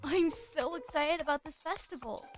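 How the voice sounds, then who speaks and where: A woman voicing an excited, friendly female pony in an animated fan drama. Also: high-pitched, moderate pace, over music, lightly acted.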